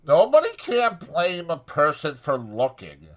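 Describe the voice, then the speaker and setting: A man's voice: a little high, huge pitch swings, a little slow, pitched above the performer's own voice. Man putting on nasal, coy young ranch wife. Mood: playful. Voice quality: nasal, brittle.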